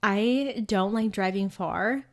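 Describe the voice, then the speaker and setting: A woman's voice: medium pitch, slow. Chatty twenties woman, podcast cohost, says like a lot. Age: 20s. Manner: chatty, fills speech with "like". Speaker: podcast co-host. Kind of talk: casual chat.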